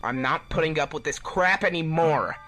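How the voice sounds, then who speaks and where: A man's voice: on the high side, normal speed, bright, forceful tone, faint music behind, pitched below the performer's own voice. Man voicing a defiant, angry hedgehog hero. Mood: restrained anger. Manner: pissed but restrained.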